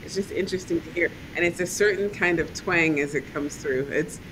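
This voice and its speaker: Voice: medium pitch, fairly quick, noisy background. A podcast co-host chatting casually and enthusiastically, rambling and restarting as thoughts come. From family from Trinidad and Tobago and Costa Rica. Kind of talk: casual interview chat.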